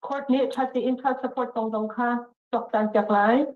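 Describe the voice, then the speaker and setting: A woman interprets court orders over a phone call. She uses many fillers and hesitant pauses, and her fairly high voice stays flat. The tinny line adds an echoey ring.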